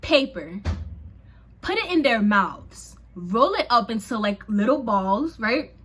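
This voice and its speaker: A young woman from Haiti whose first languages were Creole and French, chatting casually to camera in an expressive, slangy way. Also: a little high, slow pace, slight background noise. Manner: chatty and expressive, slangy, lots of fillers and exclamations. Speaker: vlogger. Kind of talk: casual storytime chat to camera.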